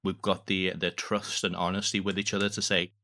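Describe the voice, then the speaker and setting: A man's voice: deep voice, little pitch movement, a little fast, pushed and bright. A man talking casually as a guest in an interview about creative work. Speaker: podcast guest, audio fiction creator.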